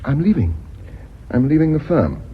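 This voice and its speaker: A dull, hissy old radio recording of a British man, a lovelorn and bitter office accountant. His delivery is animated, rising and falling freely in radio play style. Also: fairly high pitch, normal speed. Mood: hurt, resigned. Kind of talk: British radio drama acting.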